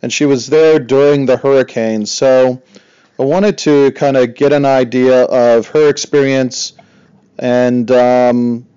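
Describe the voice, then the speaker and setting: A man hosts a casual interview in a clean, smooth, mid-pitched voice. He phrases his questions haltingly, with pauses as he goes. The audio is a little dull and lo-fi. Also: steady tone, mellow.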